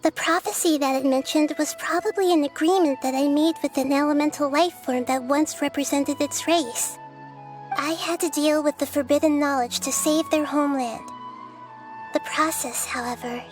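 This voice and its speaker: A woman voicing a gentle, wise young girl goddess in a game, speaking softly, thoughtfully and earnestly. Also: high-pitched, fairly even intonation, normal speed, over music, natural voice.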